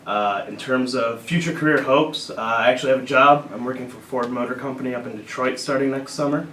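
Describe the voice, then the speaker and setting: A young man in his early twenties from Illinois, answering interview questions in a measured, steady way. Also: mid-range voice, roomy sound, recorded from a distance, background noise. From Illinois, USA. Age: early 20s. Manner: measured, steady, some fillers. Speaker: student guest.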